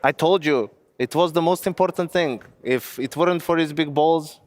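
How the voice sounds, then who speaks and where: An actor playing a blunt, boastful male team owner who talks in a deadpan way. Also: fairly high pitch, normal speed, no echo, lightly acted. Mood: smug.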